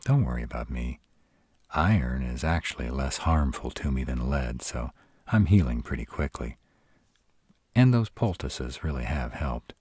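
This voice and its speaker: A man playing a protective, caring were-dragon with dry humor in an intimate one-sided roleplay audio drama, speaking in a casual, measured way. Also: expressive, darkish tone, no room sound, full character voice. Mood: relaxed, caring. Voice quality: very deep, husky. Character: gruff, protective male were-dragon, caring, dryly sardonic.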